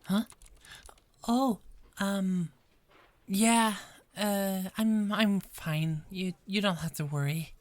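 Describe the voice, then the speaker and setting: A woman's voice: medium pitch, steady tone, extremely slow, pauses often, no echo. A woman playing a frazzled but friendly police detective in an ASMR roleplay, with a hesitant, stammering delivery that often repeats words. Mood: flustered. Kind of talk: ASMR roleplay, one-sided dialogue.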